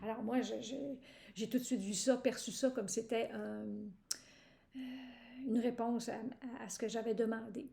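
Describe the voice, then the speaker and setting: Halting Québécoise woman, gravelly tone. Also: on the high side, fairly even intonation, warm tone, roomy sound. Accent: Québécois French. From Quebec, Canada. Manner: halting, many "euh" fillers and restarts. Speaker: program participant giving a testimonial. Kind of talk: hesitant personal testimonial.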